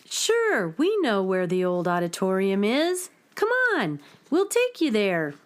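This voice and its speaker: Eager student fan in children's radio play: high, unhurried, highly animated, emphatic explanations. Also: female voice, faint music behind. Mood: helpful. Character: eager young music student, knowing adoring fan. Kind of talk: children's radio drama acting.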